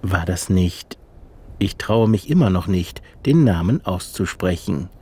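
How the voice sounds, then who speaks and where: A man narrating a radio feature, measured and literary, and interviewing an author. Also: sits low, lively intonation, dark tone, husky. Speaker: radio reporter. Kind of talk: scripted narration.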